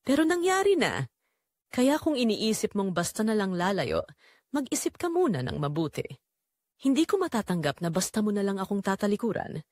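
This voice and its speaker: A woman's voice: medium pitch, wildly swooping pitch, moderate pace, darkish tone, dry recording, studio quality. A woman voicing a possessive, manipulative older mother in an audio drama, insistent and calculating.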